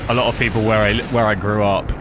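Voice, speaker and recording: A man's voice: on the low side, level tone, fast talker, over music. A young man from the South Coast of England with a slightly Cockney southern English accent, chatting casually while streaming a game and answering viewers. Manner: rambling, chatty, answering chat. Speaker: gaming streamer. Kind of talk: casual live commentary while playing.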